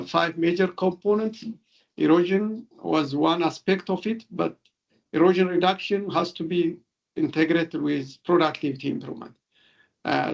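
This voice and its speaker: A man with an Ethiopian accent giving an explanatory webinar lecture as a researcher, speaking haltingly with frequent hesitations. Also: a little high, creaky. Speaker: researcher and professor giving an expert talk. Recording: dull, lo-fi webinar audio.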